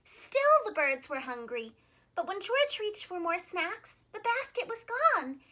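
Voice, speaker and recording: Very high, animated woman storyteller. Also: unhurried. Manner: animated, expressive, carefully enunciated. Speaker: storyteller, preschool teacher. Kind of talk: children's storybook narration.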